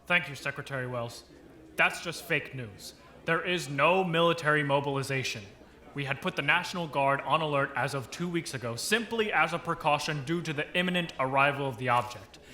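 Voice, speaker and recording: An actor playing a stern, defensive general who speaks in clipped official phrases at a radio drama press briefing. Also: male voice, a little high, brisk, bright, forceful tone, reverberant. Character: defensive male general, military spokesman, dismissive.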